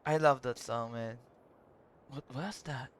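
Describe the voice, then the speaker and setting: A man streaming a video game live, chatty, giggly and excitable. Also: mid-range voice, takes it slowly, a little hiss or hum. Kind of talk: casual live-stream chat.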